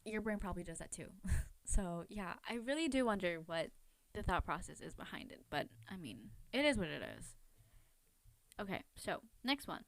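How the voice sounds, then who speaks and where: A woman hosts a true crime podcast in a casual, chatty style, at an average pitch. She rambles informally, second-guesses herself and peppers her talk with fillers. The sound is close and dry, with no room echo. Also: lively intonation, normal speed. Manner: informal, rambling, self-questioning, filler-heavy with frequent "like". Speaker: podcast host.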